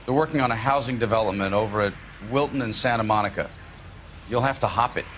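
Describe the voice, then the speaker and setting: A lowish, laconic voice for a man acting as a 1940s game watchman. He is American, casual and slangy, indifferent and a little cagey, with faint noise behind him. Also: fast, a bit bright, natural voice. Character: cagey, grubby-dealing male watchman of a shuttered film studio. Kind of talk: video game character acting.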